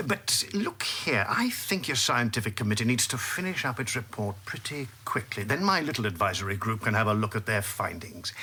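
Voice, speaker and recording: A man acting a shrewd, patronising senior British minister in a radio drama, with a husky voice and a measured, avuncular manner. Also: on the low side, very animated, bright, forceful tone, full character voice. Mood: measured, wary.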